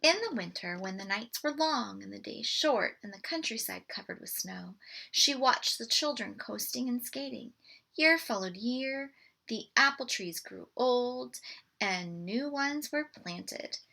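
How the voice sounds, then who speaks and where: Bright, husky woman reading a storybook slowly. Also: a little high. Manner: deliberate, expressive reading with asides to listeners. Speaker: storybook read-aloud presenter. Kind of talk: picture book read-aloud for children.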